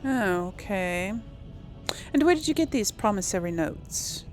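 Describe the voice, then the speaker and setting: A woman's voice: mid-range voice, expressive, unhurried, a little mellow, faint music behind. A woman doing casual, musing game commentary, thinking aloud as she plays and role-plays. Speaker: gamer host of a role-play let's play.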